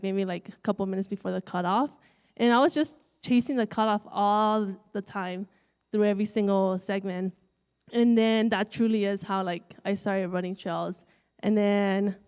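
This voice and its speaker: A woman's voice: mid-range voice, a little mellow, clean tone. A woman runner, a guest in a live interview, telling her own story in a casual way. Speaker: guest, ultrarunner. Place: live event stage.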